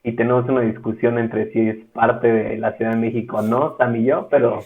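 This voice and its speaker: A man with a Mexican accent, speaking as a guest in a casual interview, measured and often pausing on "eh". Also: medium pitch, flat delivery. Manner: measured, with frequent "eh" fillers. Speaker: guest, ministry school director. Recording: tinny phone-like line.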